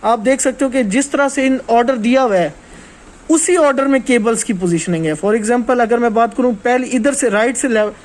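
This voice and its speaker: A man's voice: very high voice, moderate pace. A man giving an informal hands-on technical lesson as a trainer, explaining step by step and often checking that listeners follow.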